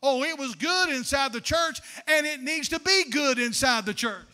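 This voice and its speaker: A man's voice: extremely high pitch, normal speed. An older man preaching a fervent sermon from a church pulpit into a handheld microphone, calling the congregation "honey". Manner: fervent preaching, rising to shouts. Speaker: pastor.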